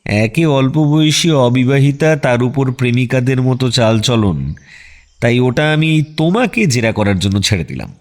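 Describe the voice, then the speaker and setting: A man playing a self-assured, wry detective in a radio drama, polite and probing with deadpan teasing. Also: medium pitch, a little slow. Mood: calm, wry.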